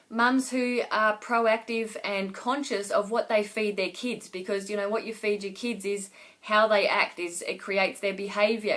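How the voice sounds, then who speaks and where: Woman, business coach on a live stream, chatty and rambling, slightly hoarse from a cough. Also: medium pitch, fairly bright tone. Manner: rambling, many fillers and restarts. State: losing her voice with a cough. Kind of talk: casual Facebook Live talk to viewers.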